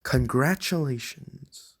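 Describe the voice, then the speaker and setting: A reader voicing big, cocky trucks in a children's storybook, with an exaggerated and deliberate delivery. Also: mid-range voice. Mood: impressed. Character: big cocky trucks looking down on a little car.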